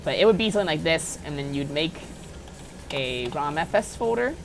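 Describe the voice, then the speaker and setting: Low-voiced woman rambling through a casual tutorial. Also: expressive, normal speed, creaky, noisy background. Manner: rambling, self-deprecating, apologetic. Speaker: tutorial maker. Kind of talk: casual unedited screen-recorded software tutorial.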